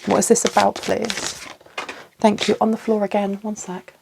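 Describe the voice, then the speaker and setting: Brief woman tarot reader, mid-pitched, a little sniffly, thinks aloud to the cards. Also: flat delivery, creaky, background noise. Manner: musing aloud, pausing to ask the cards for clarity. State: slight cough and sniffles. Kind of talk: solo tarot reading to camera.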